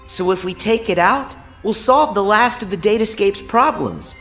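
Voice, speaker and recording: A man's voice: high voice, lively intonation, moderate pace, fairly bright tone, faint music behind. A man playing a cheerful, earnest teenage boy hero in a video game, with an eager, boyish delivery. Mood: sincere. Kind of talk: English video game voice acting.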